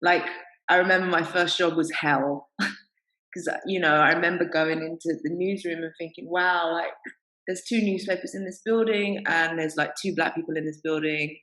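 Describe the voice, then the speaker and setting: Low-voiced woman in an echoey room. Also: fairly even intonation, moderate pace, a bit bright. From England. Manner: candid, anecdotal, laughs often. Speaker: panelist. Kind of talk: panel discussion.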